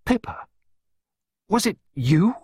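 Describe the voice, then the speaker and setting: A man's voice: medium pitch, sing-song, normal speed, breathy edge, no room sound, studio quality, voice pushed up. A man voicing an anxious, protective stepmother in an audiobook story, coaxing and insisting with urgency. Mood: horrified, begging. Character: anxious, commanding, protective English stepmother, soothing yet urgent.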